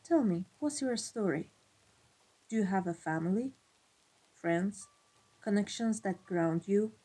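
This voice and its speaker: A woman playing a cold, commanding vampire mafia boss in an ASMR roleplay, speaking in a deliberate, imperious way. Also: mid-range voice, mellow, breathy edge, rough recording. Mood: intrigued, probing. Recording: music behind the voice. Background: classical background music.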